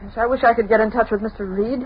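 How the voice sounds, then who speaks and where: An actress playing a savvy, wry newspaper secretary in crisp, slangy old-time radio style. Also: female voice, on the high side, fairly even intonation, racing. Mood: skeptical. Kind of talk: 1930s-40s radio acting. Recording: dull vintage radio, murmur behind.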